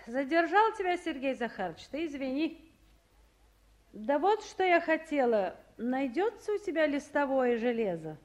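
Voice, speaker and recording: A woman's voice: sits high, very animated, moderate pace. An actress playing a busy woman official, motherly yet commanding, in a 1950s radio play. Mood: firm, reproachful. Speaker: official in charge.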